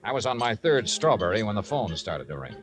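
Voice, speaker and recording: A man's voice: fairly low pitch, very animated, fairly quick. A man playing a laconic, dry-witted insurance investigator who also narrates, in a 1950s radio drama. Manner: laconic, dryly wry. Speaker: narrator and lead detective. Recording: vintage radio recording with music.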